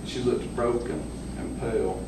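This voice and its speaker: A man's voice: mid-range voice, steady tone, unhurried, pitched above the performer's own voice, natural voice. Man reading as tender young woman storyteller, echoey room. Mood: tender, solemn. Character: young Cherokee woman narrating her story, tender, observant. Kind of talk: first-person literary reading. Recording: echoey, distant microphone, noisy room ambience.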